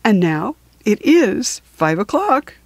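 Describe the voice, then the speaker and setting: A woman's voice: medium pitch, sing-song, takes it slowly, darkish tone, dry recording, exaggerated voice. Woman as a lordly, imperious storybook god. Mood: politely firm. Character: lordly gentleman god Quong, unhurried and commanding.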